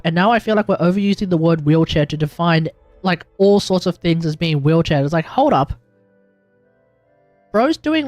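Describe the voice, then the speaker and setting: An Australian man with a notably high voice talks casually to a livestream. He is blunt and slangy, rambling through his thoughts and leaning hard on his points. The sound is close and dry, with music playing underneath. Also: darkish tone, no room sound. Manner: blunt, rambling, emphatic, slangy, thinking aloud. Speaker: livestreamer, gaming content creator. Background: music in the background.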